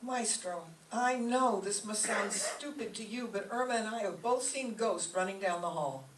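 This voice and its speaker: A woman's voice: medium pitch, normal speed, lightly acted. A woman playing a sensible, long-suffering roommate who spooks easily, with emphatic radio comedy delivery. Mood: uneasy, reasoning. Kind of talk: live old-time radio comedy acting. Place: echoey room, mic at a distance. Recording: roomy, distant live stage recording, faint noise.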